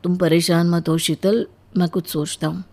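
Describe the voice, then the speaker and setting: A woman's voice: fairly low pitch, fairly even intonation, normal speed, darkish tone. A woman playing a grumbling, self-concerned young husband in a cartoon story, speaking in a complaining, reproachful way. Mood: helpless.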